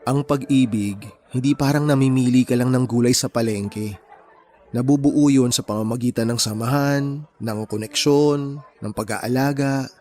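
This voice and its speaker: Actor as gentle, principled young man, radio drama. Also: mid-range voice, moderate pace, faint music behind, close to an everyday voice. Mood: gentle, earnest. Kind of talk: Tagalog radio romance drama acting.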